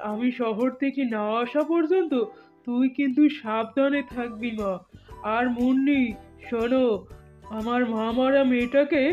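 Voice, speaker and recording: An actor voicing a loving, anxious father in a fairy-tale cartoon, tender and instructing. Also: high-pitched. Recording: muffled phone-like cartoon track with music.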